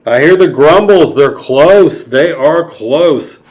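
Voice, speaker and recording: Man voicing an excitable little storybook dinosaur. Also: a little high, slow, voice pushed up. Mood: alarmed, urgent. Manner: urgent, dramatic. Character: small, excitable, fearful young dinosaur with big plans.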